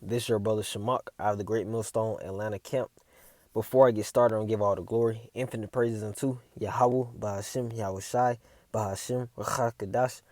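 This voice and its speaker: A man's voice: fairly low pitch, warm tone, dry recording. A man giving a casual religious commentary as a preacher, reading scripture and tagging his lines with "man" and "all right?".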